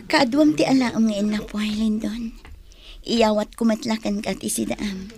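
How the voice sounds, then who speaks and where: A woman acting in a radio drama as a caring young tribeswoman who speaks in a gentle, coaxing way. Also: fairly high pitch, steady tone, a little slow. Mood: playful, urging.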